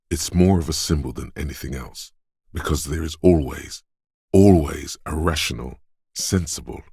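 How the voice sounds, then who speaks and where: Super deep raspy inner voice for a video game, precise tactical advice, cool but urgent, dry close recording. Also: male voice, a little mellow, dry recording, exaggerated voice. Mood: reverent, solemn. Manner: deliberate, precise, instructing. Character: inner voice of physical instinct, coolly urgent tactical advisor. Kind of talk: video game voice-over, second-person narration.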